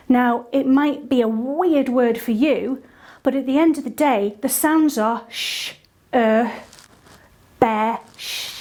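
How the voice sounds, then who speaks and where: A woman hosting a casual online singing lesson, chatty and playful with quick asides. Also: on the high side, darkish tone. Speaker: singing teacher and host.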